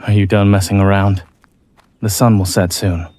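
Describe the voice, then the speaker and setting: A man acting a reserved, dry-witted, quietly caring doctor in an amateur audio drama, measured and understated. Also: low-pitched, level tone. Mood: measured, practical.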